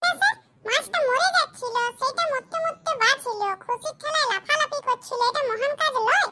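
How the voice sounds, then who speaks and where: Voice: very high voice, fairly quick, sharp tone, heavily acted. A performer voicing a cheeky, boastful schoolchild in a comedy cartoon, talking in a cocky, argumentative, colloquial way. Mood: cheeky protest. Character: cheeky, boastful Bengali schoolchild, dim but sly.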